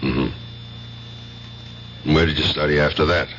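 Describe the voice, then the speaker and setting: An actor playing a wry, casually persistent police sergeant in a 1970s radio mystery, with a dry conversational manner. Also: male voice, extremely low pitch, fairly even intonation, a little slow, fairly bright tone. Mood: casual, probing. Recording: muffled, noisy 1970s radio broadcast with hum.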